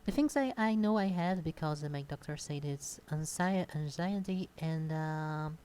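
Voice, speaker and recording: A shy young woman with a Brazilian Portuguese accent talks off the cuff at her home computer. Her voice sits slightly low and soft-toned, and her speech is halting, full of pauses and filler sounds. The recording is close-miked at a desk. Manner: hesitant, many pauses and fillers. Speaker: content creator. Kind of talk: unscripted casual monologue. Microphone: close desk microphone.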